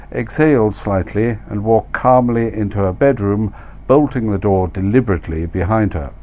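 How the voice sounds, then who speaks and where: A man reading his own short story as scripted literary narration, measured and wry, with dramatic emphasis. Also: mid-range voice, warm tone.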